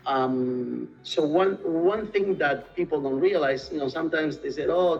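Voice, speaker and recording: A man's voice: medium pitch, muffled recording. A man from Argentina with an Argentine Spanish accent, answering interview questions hesitantly with many fillers and restarts. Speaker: real estate sales and marketing director.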